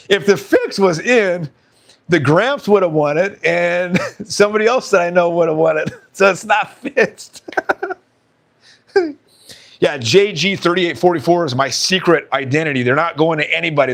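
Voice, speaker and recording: A man hosting a casual live stream, chatting and reading out viewer comments with frequent laughs, his voice slightly hoarse. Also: a little high, expressive, normal speed.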